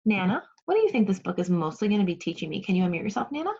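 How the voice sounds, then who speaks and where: Clear-voiced woman teaching over a lo-fi call. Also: medium pitch, sing-song, racing, warm tone. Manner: instructive, question-led, praising pupils. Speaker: second grade teacher. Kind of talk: interactive remote read-aloud lesson. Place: remote video class. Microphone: video call. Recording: dull lo-fi video call audio.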